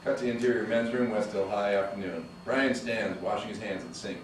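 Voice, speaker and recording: A man reads the stage directions of a screenplay in a brisk, matter-of-fact way. His voice sits low and moves fast. The recording comes from across an echoey room, with noise behind it. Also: steady tone, distant mic. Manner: brisk, matter-of-fact reading with laughs at slips. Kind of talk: table read narration of stage directions. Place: studio room.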